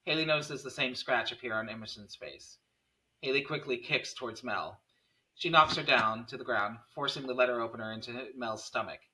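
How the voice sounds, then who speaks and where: Male narrator for a script table read, stage directions in a bright, forceful mid-range voice. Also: medium pitch, moderate pace, low-quality recording.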